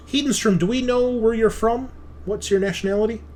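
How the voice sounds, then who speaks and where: A man's voice: high-pitched, brisk, a little mellow. A man narrating a tabletop roleplaying game as game master, explaining the setting in a conversational way. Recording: clearly noisy background.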